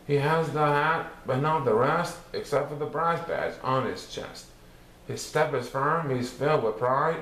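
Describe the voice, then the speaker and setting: A man with a fairly high, very animated voice reads a children's rhyming storybook aloud. His delivery is theatrical and sing-song, following the beat of the verse. The room adds clear echo. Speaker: storybook reader. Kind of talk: expressive children's picture book read-aloud. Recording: roomy echo with some background noise.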